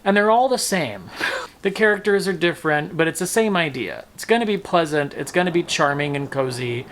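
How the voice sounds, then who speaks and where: A man's voice: on the high side, animated, a little fast, fairly bright tone. A man talking casually as a book-review vlogger, with a wry, playful, emphatic delivery that draws out words. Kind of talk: casual, chatty book wrap-up.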